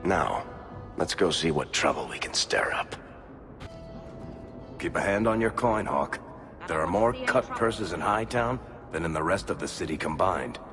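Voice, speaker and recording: A roguish dwarf from a fantasy video game, with a low voice that moves around expressively. He is a wry, confident persuader, talking over prominent music. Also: lively intonation, unhurried, pauses often. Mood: wry, teasing. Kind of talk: video game dialogue acting.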